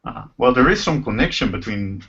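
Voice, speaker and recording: A man hosting an informal live online discussion as a professor, speaking haltingly and rephrasing as he goes over a video call. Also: medium pitch, lively intonation, vocal fry, a bit bright, breathy edge. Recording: muffled lo-fi video call audio.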